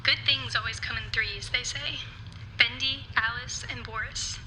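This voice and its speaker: An actress playing a slighted but stubbornly determined cartoonist in a game audio log, earnest and insistent. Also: female voice, fairly high pitch, fairly even intonation, measured pace, sharp tone, slight background noise, low-quality recording. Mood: wounded, indignant.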